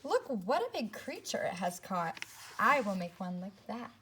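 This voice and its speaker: A woman reads the part of a naive, hungry young female spider from a storybook. She is earnest and determined, speaking slowly and emphatically with wondering, lively swings in pitch. Faint noise sits behind the voice. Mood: impressed, determined.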